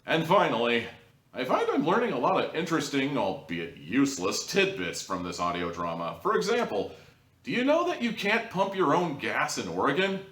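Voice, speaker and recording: A man's voice: a little high, huge pitch swings, normal speed, fairly bright tone. A man giving a casual, opinionated review to camera in a wry, joking, sarcastic manner. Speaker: audio drama reviewer, video host. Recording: roomy echo, distant from mic.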